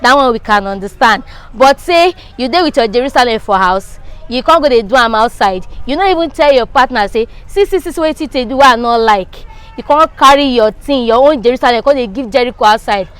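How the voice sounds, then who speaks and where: A woman with a Nigerian accent and a fairly high voice gives her opinion in a street interview. She talks in a casual, conversational way. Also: animated, brisk, clean tone. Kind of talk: animated casual chat in Nigerian Pidgin.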